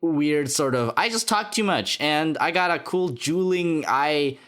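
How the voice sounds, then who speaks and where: A man's voice: a little high, measured pace. A man co-hosting a podcast, chatting casually and explaining his thoughts in an analytical way. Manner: thoughtful, analytical, with lively intonation. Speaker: podcast co-host. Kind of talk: casual anime discussion.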